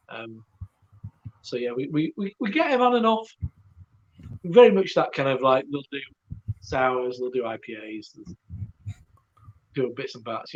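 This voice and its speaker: A man co-hosting a casual live-stream chat, talking in quick offhand bits that trail off. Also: mid-range voice, lo-fi sound.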